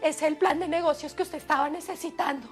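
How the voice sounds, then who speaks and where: A humble, dutiful female office worker, played by an actress in telenovela style. Her high voice hesitates and defers as she apologizes, with music playing clearly underneath. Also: fairly even intonation, fairly quick, close to an everyday voice. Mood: meek, apologetic. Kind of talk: telenovela drama acting. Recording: TV drama clip with loud music underneath.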